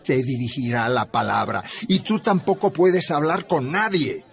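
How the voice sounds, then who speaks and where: Stern, self-righteous church elder, drawn-out sermonizing delivery, radio play. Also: male voice, mid-range voice, expressive, vocal fry, soft background music. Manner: slow, sermonizing, scolding. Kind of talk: radio drama acting.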